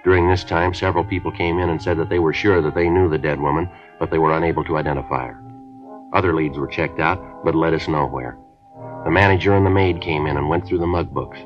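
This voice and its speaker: Deadpan police sergeant, very deep voice, brisk clipped delivery, dull lo-fi old radio sound. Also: male voice, faint music behind. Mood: matter-of-fact. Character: terse, matter-of-fact male police sergeant. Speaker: police detective narrator. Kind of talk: 1950s radio drama acting. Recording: dull lo-fi 1950s radio broadcast.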